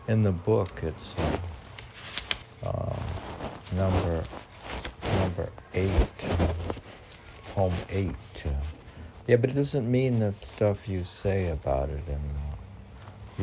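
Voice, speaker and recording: A man hosting an informal poetry podcast, reading aloud haltingly and stumbling over words, with muttered asides. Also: low-pitched, gravelly, very noisy.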